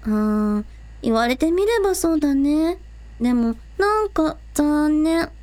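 A woman's voice: high-pitched, a little slow. A young woman playing a bubbly, earnest teenage girl idol in a Japanese audio drama. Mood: playful. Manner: excitable, cute, earnest.

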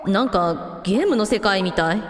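A woman playing expressive, casual-talking members of a village family in a Japanese radio drama. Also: mid-range voice, moderate pace, lightly acted. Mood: bemused. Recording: long reverb with music behind.

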